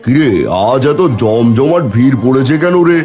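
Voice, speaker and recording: A man voicing village menfolk in a cartoon, speaking in an animated, homely way. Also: medium pitch, normal speed, faint music behind, some chatter behind, lightly acted. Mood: cheerful. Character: village men: concerned headman and eager helpers. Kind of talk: cartoon character dialogue.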